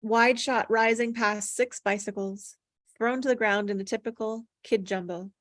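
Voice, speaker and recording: A woman's voice: fairly high pitch, fairly even intonation, normal speed, no echo, clean studio sound. A woman reading stage directions aloud at a table read, plainly descriptive, with occasional stumbles over the words.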